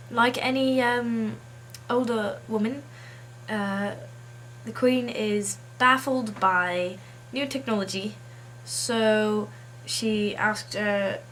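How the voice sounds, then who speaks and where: A woman video blogger talks casually to the camera at a slow pace. She is halting and practises a put-on accent, with faint noise behind her. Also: medium pitch, a bit bright, low-quality recording.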